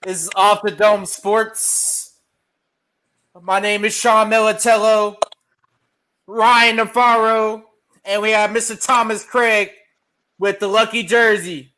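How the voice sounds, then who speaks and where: A man's voice: sits high, flat delivery, takes it slowly, long pauses, pushed and bright. A man hosting a sports podcast, chatting casually and with slang about football and basketball with friends. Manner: laid-back, slangy. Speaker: podcast host.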